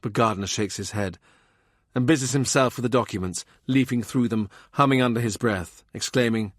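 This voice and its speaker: A man reading a historical novel as an audiobook narrator, in a measured, understated literary style. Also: mid-range voice, no room sound, clean studio sound.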